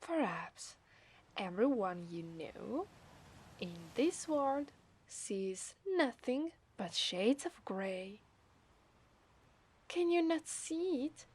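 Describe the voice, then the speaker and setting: A woman with an Italian accent voicing a coy, possessive mermaid in a soft, breathy ASMR roleplay. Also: a little high, very animated, drawn-out words, pauses often, exaggerated voice. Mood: wistful, eerie. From Italy. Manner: coy, teasing, breathy murmurs. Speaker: ASMR voice actress.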